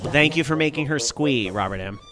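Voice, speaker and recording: A man's voice: medium pitch, expressive, brisk, music in the background. A man hosting a podcast, chatting candidly and laid-back, hedging his opinions politely. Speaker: podcast host. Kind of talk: casual chat.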